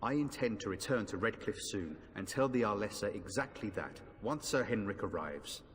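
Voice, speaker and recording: A man acting a grave, low-voiced knight in a hall. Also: expressive, lightly acted. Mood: courteous, resigned. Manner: formal, measured, grave. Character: earnest, dispirited knight on a hopeless quest. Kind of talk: fantasy game voice acting. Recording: long hall reverb, faint music behind.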